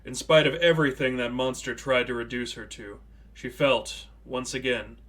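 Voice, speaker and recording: A man narrates prose fiction in a scripted audiobook manner. His voice sits at a middle pitch for a man, delivering the story as written narration. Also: steady tone, moderate pace, fairly bright tone.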